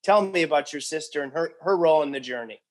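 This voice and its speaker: A man's voice: a little high, quick pace. Jokey man hosting an interview. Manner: jokey, friendly, rambling questions. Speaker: podcast host. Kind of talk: casual interview.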